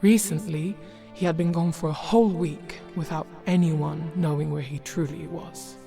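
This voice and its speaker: Female storybook narrator, low-pitched and measured, over prominent music. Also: steady tone, creaky. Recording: loud music behind.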